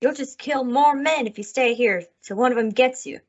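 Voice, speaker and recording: A woman's voice: high-pitched, moderate pace. A woman playing a bitter, hard frontier widow in an old-time radio western, curt and rustic in manner. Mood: bitter, defiant. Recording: dull lo-fi radio drama recording.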